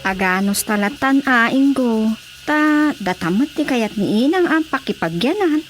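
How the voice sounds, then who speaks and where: A woman's voice: a little high, expressive, unhurried, over music. A woman playing a worried wife pleading with her husband in a radio drama. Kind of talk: Ilocano radio drama acting. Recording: faint background noise.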